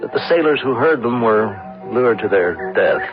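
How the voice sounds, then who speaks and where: An old, muffled radio drama recording with music behind it. An actor plays a ruined businessman, despairing and self-mocking, his lowish voice swinging widely. He muses haltingly in grand literary phrases. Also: animated, natural voice. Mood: bitter, brooding.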